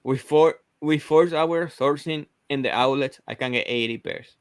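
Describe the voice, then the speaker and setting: Man, halting and dry. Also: a little high, clean tone, no room sound. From Venezuela. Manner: hesitant, many restarts and "like" fillers. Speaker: host of a reselling livestream. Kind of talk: casual livestream chat.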